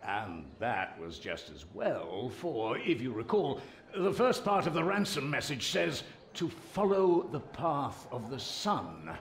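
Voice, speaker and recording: An actor playing a crafty, stern royal spymaster in a video game, speaking formally and deliberately. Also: male voice, medium pitch, wildly swooping pitch, echoey room, full character voice. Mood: grave, insistent. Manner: formal, deliberate, measured diction. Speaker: spymaster character.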